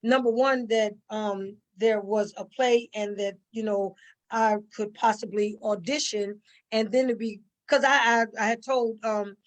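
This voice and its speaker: A woman's voice: a little high, fairly even intonation, unhurried, poor audio. A middle-aged woman answering conversationally, telling stories emphatically with repetition and quoted asides. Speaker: stage play cast member.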